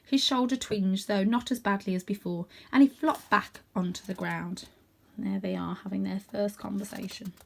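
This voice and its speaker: A woman reading a children's story aloud in an expressive narrating style. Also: medium pitch, normal speed, creaky, slight background noise. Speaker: storybook reader.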